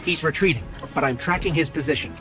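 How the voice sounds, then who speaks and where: An actor playing a small, loyal robot companion in a video game, earnest, watchful and explanatory. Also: male voice, on the high side, fast talker, over loud music, lightly acted. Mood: alert. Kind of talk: video game character acting.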